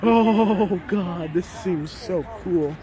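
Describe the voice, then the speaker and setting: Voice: mid-range voice, drawn-out words. A vlogger chatting off the cuff in a slangy, musing way and talking to dogs, recorded on a handheld phone outdoors. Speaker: vlogger filming own dog outing. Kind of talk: casual off-the-cuff vlog chat. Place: outdoor dog park. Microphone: handheld phone behind the camera. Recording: noisy open-air park with bystander chatter.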